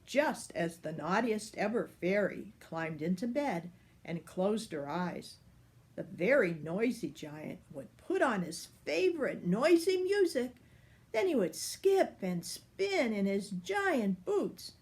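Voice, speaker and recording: An older woman reading a children's picture book aloud. She takes her time, and her voice rises and falls a lot, which keeps the story animated. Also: mid-range voice, slow.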